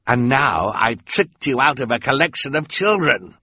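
A man's voice: mid-range voice, very animated, normal speed, bright, forceful tone, slightly rough, dry recording, voice pushed up, full character voice. A man doing a gloating storybook villain. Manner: smug, gloating.

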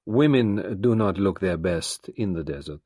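A man voicing a grave, cynical middle-aged French doctor in a storybook reading, deliberate and world-weary. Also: lowish voice, very animated, breathy edge, no echo, studio quality, exaggerated voice. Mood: dreamy, disdainful.

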